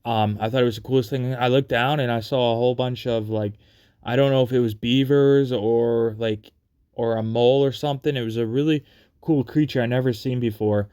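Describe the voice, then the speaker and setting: A man casually telling personal stories in a rambling, repetitive and halting way. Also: medium pitch, fairly even intonation, dark tone, smooth. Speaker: storyteller.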